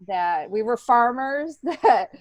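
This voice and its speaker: A woman's voice: fairly high pitch, expressive, slow, dry recording. A middle-aged American woman from upstate New York, chatty and self-deprecating, telling stories casually as an interview guest. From upstate New York, United States. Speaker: interview guest, stand-up comedian and TV writer. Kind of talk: casual conversational storytelling.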